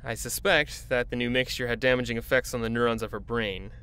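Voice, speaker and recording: An actor playing a driven, commanding research doctor in an audio drama, with a clinical way of talking. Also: male voice, mid-range voice, fairly even intonation, a little fast, bright, forceful tone, smooth, lightly acted. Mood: measured, clinical.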